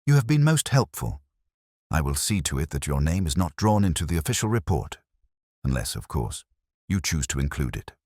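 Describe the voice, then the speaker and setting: A man voicing a composed, brilliant Victorian detective in an audiobook, speaking in precise, formal and deliberate phrases. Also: a bass voice, sing-song, darkish tone, no room sound, clean studio sound, heavily acted. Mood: reassuring. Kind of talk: audiobook character dialogue.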